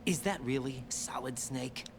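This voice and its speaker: A man's voice: mid-range voice, unhurried, background noise, close to an everyday voice. A man playing an earnest young soldier. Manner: earnest, questioning. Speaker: soldier on a mission. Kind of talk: video game radio call acting.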